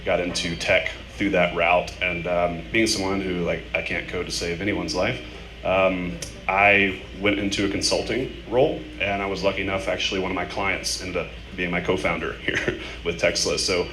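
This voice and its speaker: A man's voice: low-pitched, steady tone, normal speed, bright, forceful tone. A young man, a startup founder, casually telling his story on a panel in choppy, informal phrases. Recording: echoey noisy room, live event microphone.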